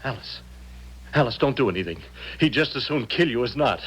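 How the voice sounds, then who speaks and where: Earnest worried guy in an old radio mystery, medium pitch, bit hesitant. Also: animated, fairly quick, a bit bright, husky, rough recording. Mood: alarmed. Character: worried, protective ordinary man beside a possessed woman. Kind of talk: 1970s radio drama acting. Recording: 1970s radio drama broadcast.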